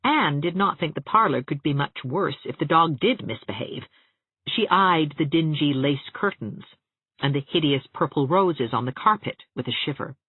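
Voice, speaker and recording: A woman reads an audiobook as its narrator. Her delivery is lively and expressive, with a wide melodic range and a husky edge, in a very dry recording. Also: deep voice, moderate pace, no echo, phone-quality sound.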